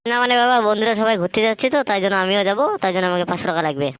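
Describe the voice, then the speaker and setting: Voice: mid-range voice, rapid-fire, a bit bright, clear, resonant tone, no echo, clean studio sound, close to an everyday voice. A performer with a Bengali accent voicing an insistent, pleading son in a comic cartoon. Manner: pleading, repetitive. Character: whiny, insistent son begging his father for money.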